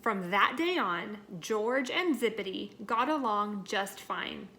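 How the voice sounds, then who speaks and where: A woman reading a children's picture book aloud in an expressive, dramatic and deliberate way. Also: medium pitch, a little hiss or hum. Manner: dramatic, deliberate delivery with acted sound words. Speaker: storybook reader.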